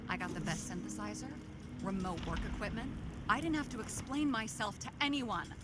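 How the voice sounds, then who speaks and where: A woman's voice: mid-range voice, fairly quick, very noisy, natural voice. An actress playing a guarded, bitter young woman from the slums, weary and defensive, in a video game cutscene. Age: young adult. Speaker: game character.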